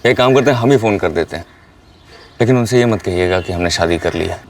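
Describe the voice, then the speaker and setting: An actor playing a young runaway bridegroom in a TV crime drama, persuasive and reassuring. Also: male voice, low voice, a little fast, far from the mic, slight background noise. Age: young adult. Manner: coaxing, reassuring.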